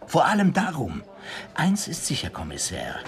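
An actor playing a learned, grave police commandant who tells tales in a theatrical, savoring way in a radio crime drama. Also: male voice, fairly high pitch, wildly swooping pitch, moderate pace, noisy background. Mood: concerned. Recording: music bed under studio radio drama.

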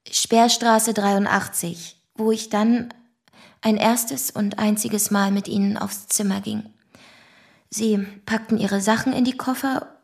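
A woman's voice: mid-range voice, darkish tone, close to an everyday voice. An actress playing a sober young woman who gives formal testimony in a radio drama.

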